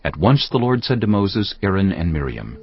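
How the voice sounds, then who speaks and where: A man narrating scripture from a script in a formal, measured storytelling manner. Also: low-pitched, big pitch swings, slightly rough, no room sound, dull audio. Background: music.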